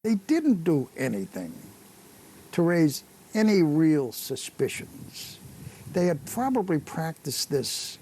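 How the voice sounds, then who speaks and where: Slow-talking older man being interviewed. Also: fairly high pitch, warm tone, slight background noise. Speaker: interviewee, retired airline worker. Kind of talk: reflective interview answers.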